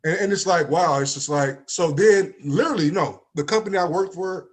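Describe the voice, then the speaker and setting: A man's voice: high voice, fairly quick. A man in his forties from East Saint Louis, a life insurance agent and talk-show guest, telling stories with acted-out dialogue. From East Saint Louis, Illinois, USA. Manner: animated storyteller, acts out quoted dialogue, often adds "you know what I mean". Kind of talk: casual talk-show conversation.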